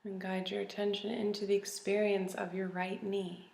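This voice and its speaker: A woman leading a guided body scan meditation in a calm, gentle, soothing manner with long pauses. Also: mid-range voice, vocal fry. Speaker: meditation teacher. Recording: roomy, echoey recording.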